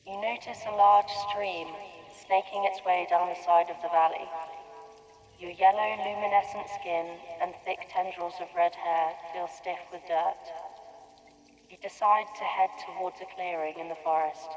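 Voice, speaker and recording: A woman's voice: on the low side, fairly even intonation. Woman narrating meditation, awash in long reverb. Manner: measured, descriptive, reading from a script. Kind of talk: scripted second-person guided meditation narration. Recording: long reverberant hall-like echo.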